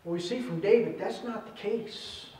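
High-pitched male pastor in an echoey room. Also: normal speed, mellow. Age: middle-aged. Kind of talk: sermon with scripture reading. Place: indoors at a church pulpit. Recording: roomy echo, distant microphone.

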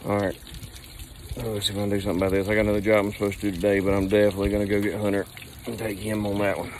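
Guy in his 30s, phone selfie vlog outside, lowish voice, chill rambling talk with lots of ums. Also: level tone, rapid-fire, a little hiss or hum. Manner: easygoing, rambling talk with fillers. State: sore back from heavy lifting. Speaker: plumbing company owner. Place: outdoors by a brick building on a job site. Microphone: phone at arm's length.

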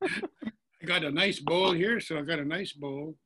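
A man's voice: a little high, a little slow, bright, forceful tone, slight background noise. An older man in his sixties, chatting casually as a guest on a video call. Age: 60s. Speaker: actor and storyteller guest. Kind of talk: casual chat. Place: living room at home. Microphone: laptop on video call.

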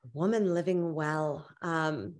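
Young woman guest, deep for a woman, earnest, halting restarts, webcam from home. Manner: earnest, searching for words, many restarts. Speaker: guest author. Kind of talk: casual interview answers. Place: home living room indoors. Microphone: video call webcam.

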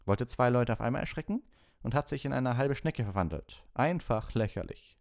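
A man's voice: medium pitch, expressive, normal speed, creaky, voice pushed up. A man voicing a cheerful, encouraging wizard teacher in an audiobook, instructing patiently and kindly. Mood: lively, amused. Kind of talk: animated audiobook character voice.

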